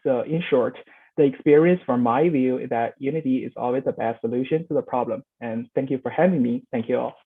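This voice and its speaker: A young man giving a prepared presentation, halting with frequent fillers and restarts. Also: medium pitch, slightly rough. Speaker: youth presenter. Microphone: video call. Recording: phone-like video call audio.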